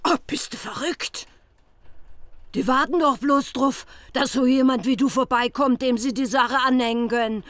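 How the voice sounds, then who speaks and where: Casting: shrewd, commanding Berlin wife, working class, blunt radio-play delivery, dry close sound. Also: female voice, high-pitched, moderate pace, fairly bright tone, no room sound. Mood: alarmed. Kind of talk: German audio drama acting.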